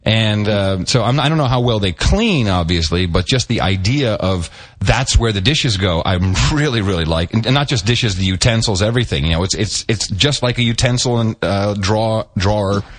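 Middle-aged male co-host, low voice, chatty and rambling, restarts a lot, animated asides. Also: moderate pace. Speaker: podcast co-host. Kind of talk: casual chat.

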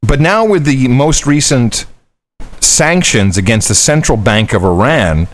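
Lively rambling male podcast host. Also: mid-range voice, a little slow. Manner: rambling, animated storytelling. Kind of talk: casual chat.

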